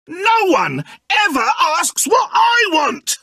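A man's voice: extremely high pitch, wildly swooping pitch, takes it slowly, creaky, pushed and bright, no echo, shouting, studio quality, full character voice. An actor playing a petulant, needy, godlike male villain in a video game, with a British accent and a theatrical, sarcastic, stammering manner. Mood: bitter, complaining. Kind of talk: video game character acting.

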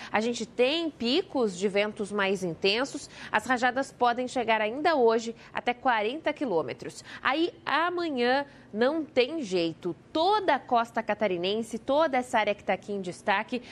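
A young woman with a Brazilian Portuguese accent presenting a live TV weather forecast in a studio, explaining in a lively, conversational way. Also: on the high side.

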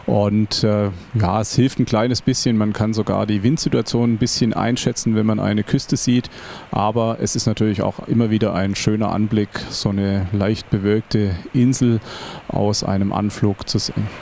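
Calm low gravelly male airline captain. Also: slight background noise. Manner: measured, reflective, plainly explaining. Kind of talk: calm explanatory voice-over commentary.

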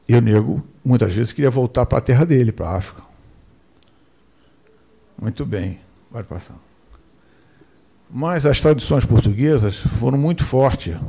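An older Brazilian man giving a measured history lecture from a lectern microphone in a lecture hall, posing rhetorical questions and asking for the next slide. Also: medium pitch, creaky, mellow. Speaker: guest lecturer. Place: lecture hall with projector screen, indoors.

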